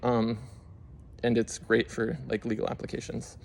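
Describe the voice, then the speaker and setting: Gravelly young man, flat rambling delivery. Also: medium pitch, moderate pace, slight background noise. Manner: rambling, full of um and like fillers. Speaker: YouTube creator. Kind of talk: casual screen-share walkthrough.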